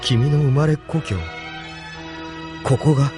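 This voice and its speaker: An actor playing an idealistic, troubled young rebel leader in an anime audio drama, intense and questioning. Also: male voice, on the high side, steady tone, slow pace, over music. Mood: puzzled.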